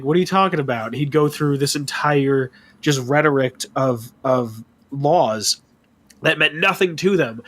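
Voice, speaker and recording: A man with a stuffy nose, chatting on a podcast about his tabletop characters, animated and full of swearing. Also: fairly high pitch. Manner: animated storytelling, swearing, quick asides. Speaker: podcast guest. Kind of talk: casual chat about tabletop gaming.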